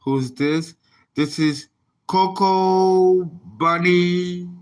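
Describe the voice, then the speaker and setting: A man's voice: sits high, extremely slow. A man, an astrologer and tarot reader, chatting casually on a livestream and rambling as he reads viewer comments aloud.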